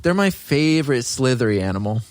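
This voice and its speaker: A man's voice: mid-range voice, big pitch swings, brisk, no room sound. A man co-hosting a podcast in casual, joking chat, often giggling through his words. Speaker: podcast co-host.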